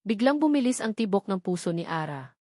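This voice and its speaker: A woman's voice: mid-range voice, sing-song, a little fast, a little mellow, no room sound, clean studio sound. A woman reading a scripted dramatic story as its narrator.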